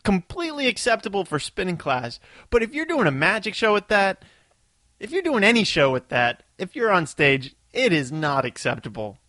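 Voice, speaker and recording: A man co-hosting a podcast, a touring performer chatting in a relaxed, easygoing way and voicing strong opinions. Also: high voice, moderate pace, dry recording, studio quality. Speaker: podcast co-host. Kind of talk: casual chat.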